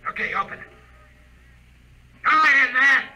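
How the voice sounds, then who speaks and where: A brash American soldier in an old radio drama, eager for action. The voice is high and piercingly forceful, over faint music. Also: male voice, animated, normal speed, exaggerated voice, low-quality recording. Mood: impatient.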